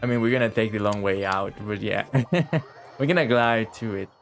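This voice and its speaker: A man's voice: fairly low pitch, moderate pace, a bit bright. A man giving casual, sarcastic play-along game commentary, swearing freely. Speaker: game streamer. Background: video game music.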